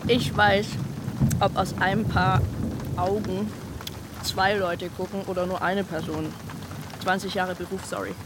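A woman's voice: on the low side, little pitch movement, a little slow, pauses often, lightly acted. An actress playing a talkative, caring, scatterbrained woman in a naturalistic radio drama, musing and rambling conversationally. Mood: matter-of-fact. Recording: clearly noisy outdoor ambience.

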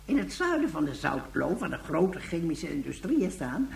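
A woman playing a courteous, knowledgeable elderly character in a radio play, with a creaky voice and a halting, explanatory manner. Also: low-pitched, very animated, slight background noise, exaggerated voice. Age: older. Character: courteous, knowledgeable elderly person, leisurely storyteller. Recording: echoey room sound.

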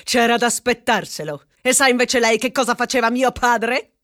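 A woman's voice: on the high side, moderate pace, a bit bright, natural voice. A woman playing a bossy male castaway boss in an absurdist stage comedy, declamatory, accusing and sarcastic. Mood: scornful. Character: bossy male castaway leader, woodcutter's son, pompous cannibal demagogue. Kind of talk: theatrical absurdist comedy acting.

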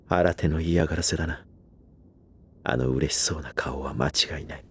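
An actor playing a loyal manservant in a Japanese voice drama, coldly polite and distrustful, with formal phrasing and a barbed edge. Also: male voice, extremely low pitch, fairly even intonation, moderate pace, slightly rough, heavily acted. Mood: suspicious aside.

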